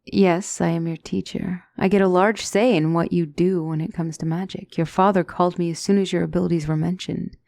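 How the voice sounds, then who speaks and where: Soft, low-voiced demonic tutor, acted by a woman. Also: steady tone, creaky, no echo. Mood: calm reassurance. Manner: formal, measured, reassuring diction. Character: gentle demonic tutor, female mentor to a young royal. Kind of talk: soft ASMR fantasy roleplay.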